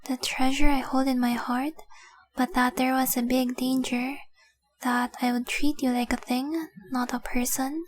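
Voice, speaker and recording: A young dragon-blooded fiancée, acted by a woman, devoted and remorseful, in an intimate romantic audio drama. Her voice is high and breathy, often close to a whisper. She confesses slowly and earnestly, keeping her melody narrow and gentle. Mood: tender, insistent. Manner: slow, earnest, tender confessing.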